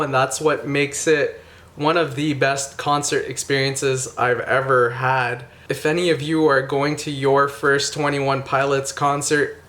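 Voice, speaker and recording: A man's voice: medium pitch, sharp tone. A man hosting a vlog, talking casually and enthusiastically to his viewers about a concert he went to. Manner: enthusiastic, grateful, friendly. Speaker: YouTube reaction channel host. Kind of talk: casual vlog recap to camera.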